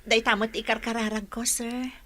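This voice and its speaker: Young female caregiver acted by a woman, Ilocano, high and bright, polite, anxious, for radio drama. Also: little pitch movement, normal speed, natural voice. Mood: earnest. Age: young adult. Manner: polite, deferential.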